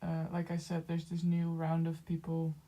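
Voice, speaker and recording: A young woman with a Dutch accent, chatting casually with viewers while she draws, speaking softly and haltingly with many fillers and small laughs. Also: low voice, completely flat delivery. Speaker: streamer. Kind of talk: casual chat while drawing on stream.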